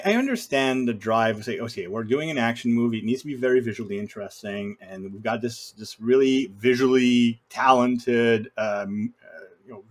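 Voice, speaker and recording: A man talking casually about films as a podcast panelist, opinionated and fond of acting out imagined quotes to make his points. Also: mid-range voice. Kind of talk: casual film discussion.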